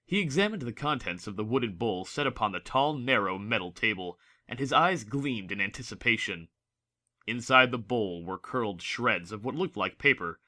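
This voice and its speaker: A man's voice: lowish voice, expressive, moderate pace, sharp tone. A man narrating a fantasy story from a script in a dramatic audiobook style.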